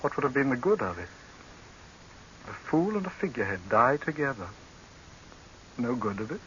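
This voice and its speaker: Tense, bitter doctor, vintage radio. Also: male voice, mid-range voice, big pitch swings, normal speed, a bit bright. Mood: weary, resigned. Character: resigned, bitter male doctor, would-be assassin. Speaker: radio drama actor. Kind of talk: 1930s radio acting. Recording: muffled, hissy 1930s radio recording.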